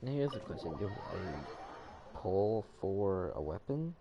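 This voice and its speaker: A man's voice: low-pitched, sing-song, slow pace, lo-fi sound, noisy background. A man giving casual gameplay commentary, rambling as he thinks aloud to himself. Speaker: video game streamer.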